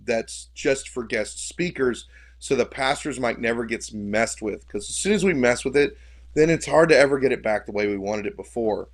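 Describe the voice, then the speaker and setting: A man with a big voice co-hosting a casual podcast chat about church media, explaining technical points straight to listeners. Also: mid-range voice. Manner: instructive, addresses listeners directly.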